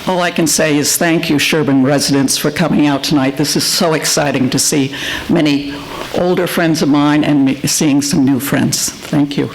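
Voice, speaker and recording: A woman reading a nomination speech at a town meeting, earnest and a little halting. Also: deep voice, fairly even intonation, a little hiss or hum, poor audio. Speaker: resident giving nominating speech.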